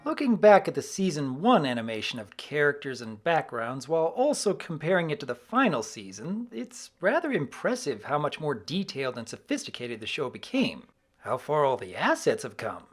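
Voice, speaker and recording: A co-reviewer giving scripted commentary in a measured, explanatory manner. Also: medium pitch, sing-song. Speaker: guest co-reviewer.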